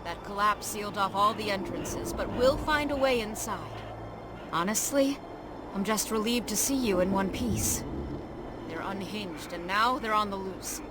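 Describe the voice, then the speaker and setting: A woman's voice: mid-range voice, a little slow, heavy background noise, close to an everyday voice. A woman playing a wry, reassuring military officer in a fantasy video game. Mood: resolute. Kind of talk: scripted video game voice acting. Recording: voice under loud game music. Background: loud music.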